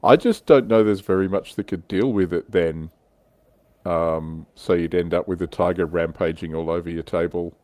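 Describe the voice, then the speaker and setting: A man's voice: deep voice, normal speed, a little mellow, dry recording. A man hosting a livestream, chatting casually and reading out viewer comments. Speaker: livestream host.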